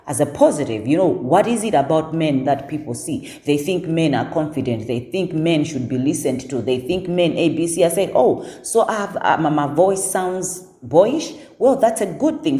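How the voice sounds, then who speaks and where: A woman with a notably deep voice speaks with firm, assertive confidence, often doubling words as she drives a point home. Her tone is dark and mellow, sitting low for a woman. The recording carries a noticeable room echo. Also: animated, normal speed, few pauses. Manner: assertive, repeats words when emphasizing. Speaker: guest. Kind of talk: casual podcast conversation.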